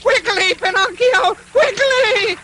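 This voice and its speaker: A woman's voice: extremely high pitch, slow pace, sharp tone, full character voice. A woman voicing a frantic friend calling out warnings in a cartoon dub, on a noisy soundtrack. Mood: alarmed.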